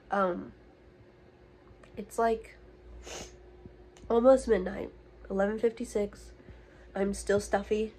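A young woman in college chatting casually to her phone camera in a relaxed vlog, upbeat and full of fillers. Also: on the high side, slight background noise, poor audio. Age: young adult. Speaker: college student vlogger. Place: dorm room and outdoors. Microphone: phone held at arm's length.

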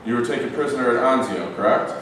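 Actor as polite German officer, echoey hall. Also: male voice, medium pitch, fast talker, close to an everyday voice. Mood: affable, probing. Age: young adult. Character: courteous, chatty German army lieutenant interrogating a prisoner. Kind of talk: staged script reading. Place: bare rehearsal room, camera at a distance. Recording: echoey hall from far off, faint noise.

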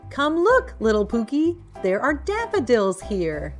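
Lady playing mama pig in a picture book, singsong and loving, lively pitch, music behind. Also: a little slow. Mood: cheerful. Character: doting, cheerful mother pig of a little piglet.

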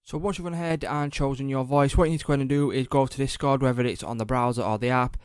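Clear-voiced man giving a casual tutorial. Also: medium pitch, steady tone, fast talker, darkish tone, dry recording, clean studio sound. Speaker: tutorial presenter. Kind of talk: casual step-by-step software tutorial voice-over.

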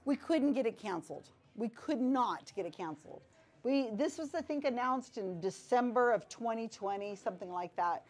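A middle-aged woman speaking casually to an audience in a small pub room, animated and conversational with many asides. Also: mid-range voice. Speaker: guest speaker. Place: small wood-paneled pub back room.

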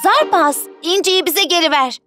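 A woman voicing a gentle, wise young mermaid queen in a children's cartoon, speaking earnestly like a storyteller. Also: very high voice, moderate pace. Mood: firm, defiant. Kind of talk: animated children's cartoon dubbing. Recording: music under the voice.

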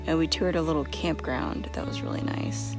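A woman's voice: low-pitched, big pitch swings, moderate pace, vocal fry, over loud music. A woman in her thirties from Texas, chatting casually to camera on a family road-trip vlog, filmed on a phone held at arm's length outdoors. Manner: chatty, playful mock news-reporter asides. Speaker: family travel vlogger. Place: outdoors in windy desert and lookouts.

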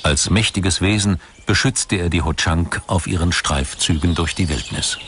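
A man narrating a scripted documentary in a measured, formal way. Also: low-pitched, background noise. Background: music behind the voice.

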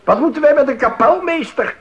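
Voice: high-pitched, expressive, brisk, muffled recording. An actor playing a dismissive council member in a radio play. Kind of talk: 1980s Dutch radio play acting.

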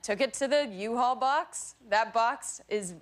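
A woman's voice: on the high side, a little slow. A Canadian woman in her early thirties, a guest on a talk show, chatting in a playful, giggly, self-deprecating way. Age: 30s. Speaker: guest actor. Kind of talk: talk show interview chat. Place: TV studio set. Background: studio audience.

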